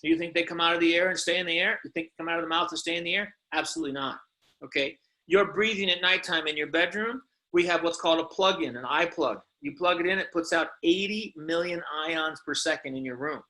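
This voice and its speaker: A man's voice: on the high side, fast, sharp tone. A man delivering an informal sales presentation in an emphatic, insistent manner, often punctuated with rhetorical questions. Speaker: company presenter pitching products.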